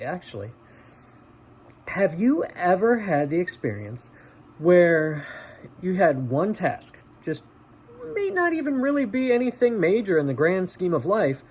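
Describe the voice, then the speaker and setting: Male vlog host, slightly high voice, conversational and encouraging, speaking one-to-one as if to a friend. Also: darkish tone. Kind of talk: casual direct-to-listener motivational chat.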